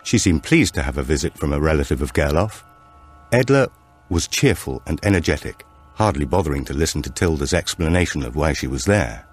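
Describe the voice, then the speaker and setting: A man reading a suspense novel as an audiobook narrator, in a measured storytelling manner. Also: rumbling low, lively intonation, breathy edge, soft background music.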